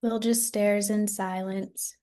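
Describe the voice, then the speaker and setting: A woman's voice: medium pitch, flat delivery, takes it slowly, mellow. A woman reading stage directions as scripted narration.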